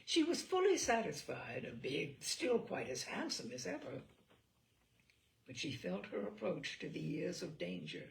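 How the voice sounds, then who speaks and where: A woman narrating a literary novel in an expressive, measured storytelling manner with deliberate pauses. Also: lowish voice, vocal fry, slight background noise, low-quality recording. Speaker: audiobook narrator.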